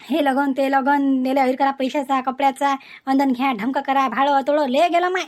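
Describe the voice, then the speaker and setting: A woman's voice: sits high, steady tone, moderate pace, close to an everyday voice. A woman voicing a thrifty, exasperated older mother-in-law in a family cartoon, grumbling in lists with a Varhadi Marathi accent. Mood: weary refusing. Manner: grumbling, complaining in repetitive lists. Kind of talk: cartoon family comedy acting.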